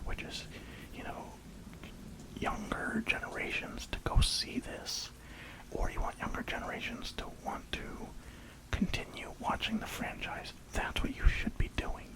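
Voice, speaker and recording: An ASMR creator speaks entirely in a hushed whisper. The delivery is an informal, rambling review, with hesitations and little asides, as if chatting through thoughts as they come. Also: medium pitch, low-quality recording.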